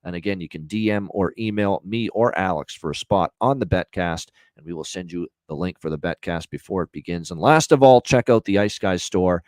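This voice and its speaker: Man, low voice, sports betting host, filler-heavy, dry booth sound. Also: brisk, few pauses, no room sound. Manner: energetic, repeats himself, many "uh" fillers. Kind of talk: solo podcast promo and picks.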